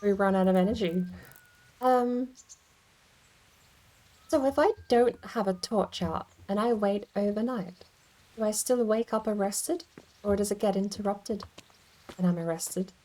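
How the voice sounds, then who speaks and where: A woman's voice: medium pitch, unhurried, pauses often, warm tone, rough recording. A woman streaming a game from home, rambling and thinking aloud as she plays. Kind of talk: casual gameplay commentary.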